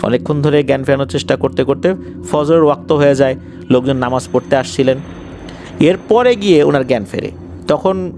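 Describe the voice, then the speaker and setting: A man with a Bangladeshi accent hosts a horror story show, narrating suspensefully to his listeners with drawn-out words and pauses. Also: mid-range voice, gravelly, darkish tone, slight background noise.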